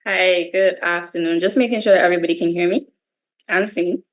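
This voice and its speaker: A woman's voice: fairly low pitch, steady tone, fairly quick. A woman with a Barbadian accent giving a scripted, articulate presentation as a guest speaker in an online session. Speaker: guest speaker, cybersecurity lead.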